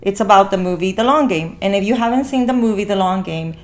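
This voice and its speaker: A woman's voice: medium pitch, fast. Rambling woman hosting a casual podcast. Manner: rambling, repeats and rephrases herself. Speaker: podcast host. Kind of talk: casual conversational podcast talk.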